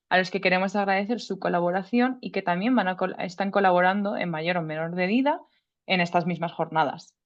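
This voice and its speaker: A woman presenting a research project at an online seminar. She reads from a prepared text in a measured, formal way, with the odd casual aside. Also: fairly low pitch, dull audio. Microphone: video call audio.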